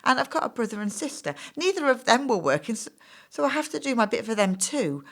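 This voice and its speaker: An actress playing an anxious, defensive job applicant in her thirties in a stage play, hesitant, apologetic and quick to justify herself. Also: fairly high pitch, big pitch swings. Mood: pleading.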